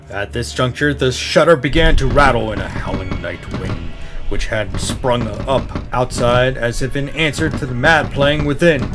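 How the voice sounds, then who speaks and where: A man reading a horror story aloud in a dramatic, intense style, swelling and dropping to hushed phrases. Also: medium pitch. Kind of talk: dramatic scripted audiobook narration of a horror story. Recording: music behind the voice. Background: instrumental music.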